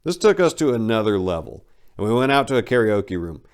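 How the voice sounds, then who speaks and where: Low-voiced man reading a brash memoir. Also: sing-song, fairly quick, clean tone. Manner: brash, wry, colloquial storytelling. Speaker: narrator. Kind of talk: dramatic reading of a first-person memoir.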